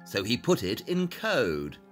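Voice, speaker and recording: An actor playing a brilliant, assured detective in an audio drama, speaking in a precise, formal, expository way. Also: male voice, mid-range voice, huge pitch swings, slow pace, faint music behind. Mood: triumphant.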